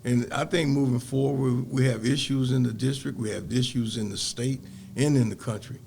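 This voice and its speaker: A man's voice: medium pitch, fairly even intonation, moderate pace, vocal fry, a little mellow, a little hiss or hum. An older man, speaking earnestly and reflectively as a podcast interview guest. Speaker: guest, community organizer.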